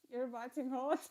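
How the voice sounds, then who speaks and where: Higher-voiced woman reacting. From South Africa. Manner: expressive, exclaims and giggles while watching. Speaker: livestream reaction host. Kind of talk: casual live reaction commentary.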